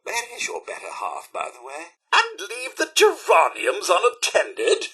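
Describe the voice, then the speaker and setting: A man's voice: sits high, huge pitch swings, measured pace, pushed and bright, breathy edge, heavily acted. A man with a British accent voicing a devoted, fussy, sentimental robot butler in a video game, speaking formally and with effusive deference. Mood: courteous, chatty. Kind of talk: acted video game companion dialogue.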